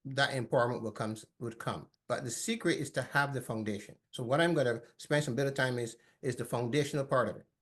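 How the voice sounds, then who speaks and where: A man from Barbados speaking as a panelist in a discussion, hesitant and often restarting. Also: medium pitch.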